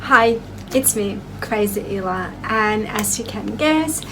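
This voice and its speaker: A woman's voice: mid-range voice, expressive, slow, background noise. A young woman from Azerbaijan telling her own story to camera in a playful, self-mocking way, with non-native English phrasing. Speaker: vlogger. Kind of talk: casual personal storytelling to camera.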